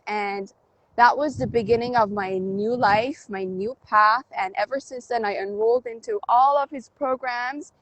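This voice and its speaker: Woman, high voice, upbeat, motivational, emphatic, livestream guest on a phone. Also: dry recording, rough recording. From Canada. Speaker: guest, DJ and life coach. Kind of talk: livestream interview.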